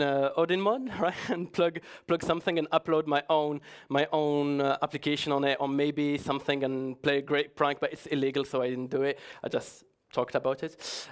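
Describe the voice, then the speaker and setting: A man's voice: fairly high pitch, clean tone. Joking man giving a conference talk. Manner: chatty, joking, hesitant, many restarts. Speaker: conference speaker.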